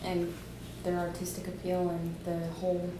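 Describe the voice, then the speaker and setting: A woman's voice: fairly low pitch, monotone, moderate pace. A woman in her twenties, a student, introducing herself to a focus group with self-deprecating remarks. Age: 20s. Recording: echoey hollow room with background noise.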